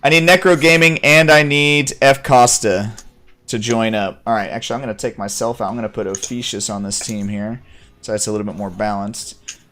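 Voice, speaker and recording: A man's voice: fairly low pitch, fairly even intonation, a little fast, sharp tone, faint music behind. A man hosting a gaming livestream, upbeat and busy, chuckling as he manages players and comments on the match. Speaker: stream host. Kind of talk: casual gaming stream commentary.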